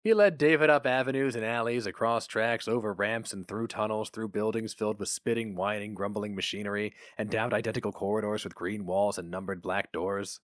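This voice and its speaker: A man's voice: fairly low pitch, steady tone, fairly quick, hardly any pauses, no room sound, studio quality. A man reading a short story aloud as a dramatic scripted narration.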